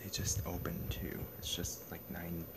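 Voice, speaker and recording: A young man talking casually and a bit haltingly on a homemade prank-call video shot indoors on a handheld phone. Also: low voice, monotone, very noisy. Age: young adult. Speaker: prank caller and video maker. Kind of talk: casual phone prank talk with muttered asides. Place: small bedroom, indoors. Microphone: phone at arm's length.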